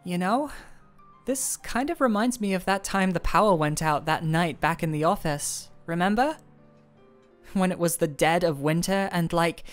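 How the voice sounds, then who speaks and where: A young woman playing a shy, smitten former coworker in an intimate romantic audio roleplay, speaking softly and haltingly. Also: medium pitch, natural voice. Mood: fond reminiscing. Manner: shy, halting, soft-spoken.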